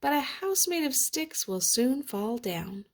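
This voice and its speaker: A woman reads an obliging storybook tradesman with sensible advice. He speaks in a matter-of-fact manner, with lively pitch movement and an unhurried pace. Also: full character voice. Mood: obliging, cautioning. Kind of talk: storybook character voice.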